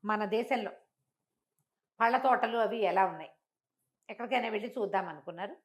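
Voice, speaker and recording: An older woman telling a traditional moral story in a conversational interview, acting out the characters' speech with lively jumps in voice. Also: fairly quick. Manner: animated, acts out quoted speech with big pitch jumps.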